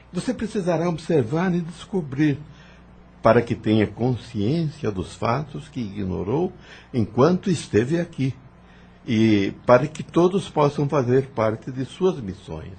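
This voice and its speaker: Spirit mentor, male actor: solemn, formal, patient teacher, heavily rasped husky voice, expressive intonation, audio drama. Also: medium pitch, full character voice. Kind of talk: audio novel acting.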